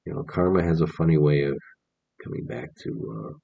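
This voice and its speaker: A man in his forties with a very low, gravelly voice talks earnestly to camera, rambling and restarting as he goes. His tone is husky, and the audio is dull and lo-fi. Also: fairly quick. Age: 40s. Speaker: vlogger. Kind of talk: casual vlog monologue. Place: indoors, on camera.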